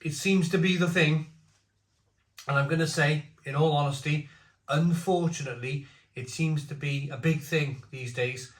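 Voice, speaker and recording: A man's voice: a little high, steady tone, moderate pace. Roomy-sounding man in his forties. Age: 40s. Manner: blunt, opinionated, rambling asides. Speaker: craft beer reviewer. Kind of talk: casual beer review monologue. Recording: roomy echo.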